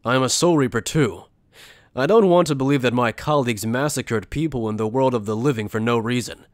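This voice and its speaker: A man's voice: medium pitch, brisk, no room sound, studio quality, close to an everyday voice. A man acting in an anime fan dub as an earnest, principled young officer who is also a journalist, speaking in a sincere, insistent way. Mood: resolute. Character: earnest male Soul Reaper lieutenant and principled journalist.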